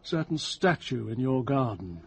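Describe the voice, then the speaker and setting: An actor with a British accent playing a shrewd police inspector, formal, measured and politely probing, in a radio drama. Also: male voice, mid-range voice, sing-song, vocal fry, darkish tone. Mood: frank, measured.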